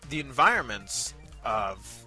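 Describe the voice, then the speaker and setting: A man with a very bright, forward voice at a middling pitch, talking through a review as co-host. He is measured and polite, pausing often with hesitations, over background music. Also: big pitch swings. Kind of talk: conversational review commentary.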